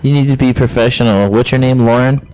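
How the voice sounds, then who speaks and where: A man's voice: mid-range voice, steady tone, a little fast, a little mellow, a little hiss or hum, rough recording. A man co-hosting a casual comedy radio interview, heckling bluntly and mockingly. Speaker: radio show co-host. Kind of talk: casual comic interview banter. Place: indoor room around a table.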